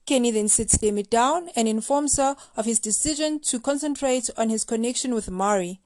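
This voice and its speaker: A woman's voice: fairly high pitch, normal speed, darkish tone, dry recording. A woman hosting a recap show, reading scripted commentary in a playful, gossipy, slangy way. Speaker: host of a reality TV recap show.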